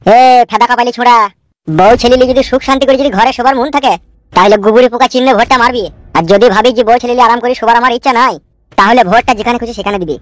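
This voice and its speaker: A man's voice: extremely high pitch, racing, no room sound, low-quality recording. A man with a rural West Bengal accent voicing an insistent, bullying, foul-mouthed political henchman in a comic cartoon. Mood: threatening. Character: pushy, foul-mouthed male party henchman canvassing for votes. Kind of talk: fast comic cartoon dialogue.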